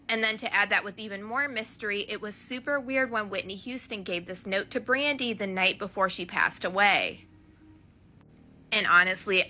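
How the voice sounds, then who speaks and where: A woman's voice: medium pitch, sharp tone. A chatty, emphatic woman giving casual celebrity gossip commentary.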